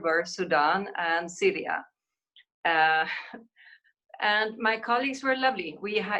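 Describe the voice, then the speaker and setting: A woman with a Swedish accent, an ambassador answering on a webinar panel, slow and halting with many fillers. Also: on the low side, a bit bright. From Sweden.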